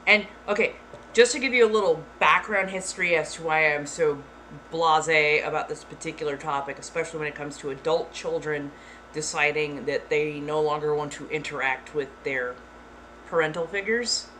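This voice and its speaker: A woman streaming live who talks to her chat in a plain, blunt way. She keeps her delivery deliberately monotone and swears now and then without fuss. Steady background noise sits under the voice. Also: low voice, low-quality recording. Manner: frank, swears casually.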